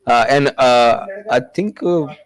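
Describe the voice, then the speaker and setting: A man's voice: mid-range voice, slow. A man whose first language is Urdu, chatting casually in English on a podcast, hesitant, with many fillers and restarts.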